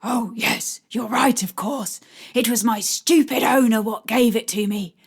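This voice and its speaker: A woman's voice: fairly high pitch, measured pace, husky, full character voice. A woman voicing a playful talking toy dog with a silly, teasing, barking manner in a storybook. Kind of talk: audiobook character voice.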